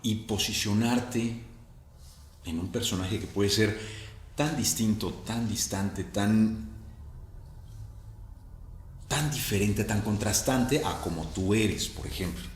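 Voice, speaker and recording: A man interviewing casually, feeling his way through questions with repeated words and pauses. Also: lowish voice. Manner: halting, searching for words with repetitions and pauses. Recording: roomy echo with faint background noise.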